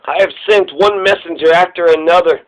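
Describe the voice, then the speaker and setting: A man's voice: sits high, a little fast, pitched below the performer's own voice, lightly acted, rough recording. A man voicing Death as a stern, solemn figure with grave archaic speech in a storybook reading. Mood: stern, reproachful. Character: Death personified, solemn, stern male reaper.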